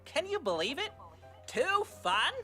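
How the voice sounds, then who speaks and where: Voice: high voice, big pitch swings, measured pace, pushed and bright, soft background music, full character voice. A performer voicing a cheerful, eager male party pony, unfazed by murder, with an upbeat, theatrical delivery in a fan fiction drama. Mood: amused, proud.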